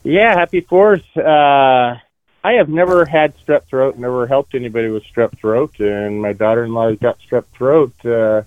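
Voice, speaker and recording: A man's voice: mid-range voice, measured pace, clear, resonant tone, no echo. Male caller on a tinny phone line.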